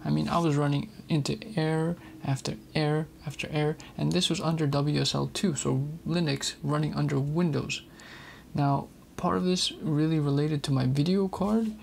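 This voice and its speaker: A man hosting a casual tech video, explaining software in a chatty, candid way. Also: fairly high pitch, steady tone, normal speed, creaky, a little hiss or hum. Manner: chatty, candid about frustrations.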